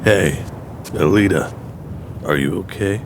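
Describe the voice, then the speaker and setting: A man playing a calm, dry-witted gunslinger sidekick in an audio drama, unruffled and deadpan. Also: slow, loud music behind the voice. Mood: concerned. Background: loud rumbling noise.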